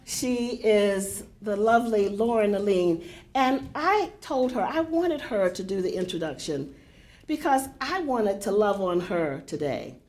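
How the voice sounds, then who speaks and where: A woman's voice: medium pitch, animated. An older woman directing a poetry center, giving chatty welcoming remarks with a big voice and many fillers to a live audience in a university hall. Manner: warm, chatty, unhurried with frequent fillers.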